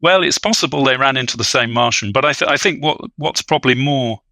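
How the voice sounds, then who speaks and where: A man's voice: mid-range voice, quick pace, pushed and bright, no room sound, studio quality. A man speaking as an expert podcast guest in an academic discussion, with hesitant, scholarly, wordy phrasing.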